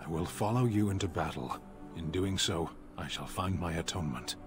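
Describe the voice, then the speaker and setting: Game casting: a solemn captive warrior, stoic and formal, low voice, seeking atonement, music underneath. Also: male voice, expressive. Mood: grave, resigned. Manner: formal, measured, grave. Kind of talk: video game voice acting.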